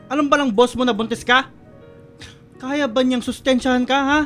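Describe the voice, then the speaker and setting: An exasperated older father in a Filipino radio drama. His voice is unusually high for a man, scolding and halting, with music playing behind him. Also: steady tone, fairly bright tone. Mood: shocked, scolding. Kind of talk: Filipino radio melodrama acting.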